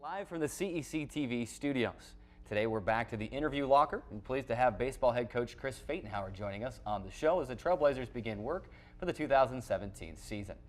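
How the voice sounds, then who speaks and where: A man's voice: mid-range voice, expressive, a little fast, hardly any pauses. A man hosting a sports interview show in a broadcast style, recorded in a TV studio.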